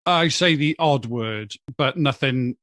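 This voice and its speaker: A man, a guest on a podcast, speaking in a measured, thoughtful way with hesitant starts. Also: medium pitch, a bit bright, no room sound, clean studio sound. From Wales. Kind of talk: casual podcast chat.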